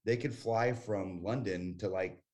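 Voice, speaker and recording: Forties man from the States, lowish voice, chatty interview guest who talks emphatically. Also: measured pace, darkish tone. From United States. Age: 40s. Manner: chatty and emphatic, with frequent restarts. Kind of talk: casual conversation.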